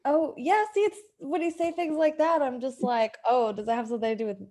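A woman's voice: high voice, steady tone, brisk, a little mellow, lightly acted. A woman playing a hesitant, needy and friendly bank caller in an improvised comedy sketch. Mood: flustered, needy.

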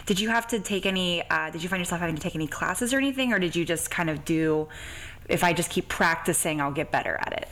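A woman's voice: fairly low pitch, fast talker, fairly bright tone, rough recording. A woman interviewing a guest in a casual, informal podcast style with hesitant phrasing.

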